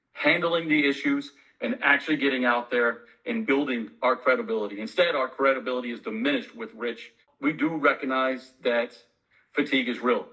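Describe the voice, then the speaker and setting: A man with a bright, hard-edged voice delivers a campaign appeal to union members. He sits at a middle pitch and speaks emphatically, with urgency, as a candidate for leadership. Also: low-quality recording.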